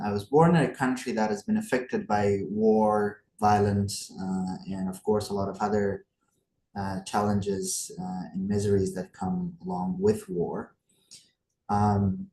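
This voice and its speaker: A young man from Afghanistan gives a talk to a conference over a video call. His low voice hesitates often and fills pauses with ums and uhs. The sound is roomy and echoey, with a faint hum behind it. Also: a little mellow. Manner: hesitant, many fillers. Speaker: guest speaker.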